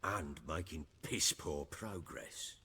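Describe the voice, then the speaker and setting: An actor playing a blunt, brooding nobleman and troubled father in a video game, telling things in a rough-spoken, weighty way. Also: a bass voice, slow pace, pushed and bright, long reverb tail, a little hiss or hum, full character voice. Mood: blunt, demanding.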